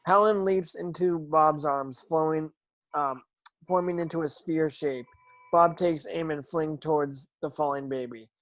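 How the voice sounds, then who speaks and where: A man reading stage directions at an amateur table read, halting and stumbling over words as he narrates. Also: a little high, steady tone, no room sound, rough recording.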